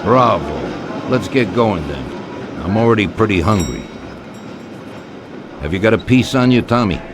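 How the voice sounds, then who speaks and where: Genial, commanding mafia don, animated. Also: male voice, lowish voice, a little slow, noisy background. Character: genial, commanding mafia boss who loves food. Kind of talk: video game voice acting.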